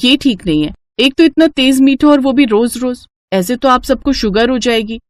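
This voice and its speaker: A woman's voice: high voice, lively intonation, moderate pace. A woman voicing a worried, caring young daughter-in-law in a moral story. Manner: concerned, earnest.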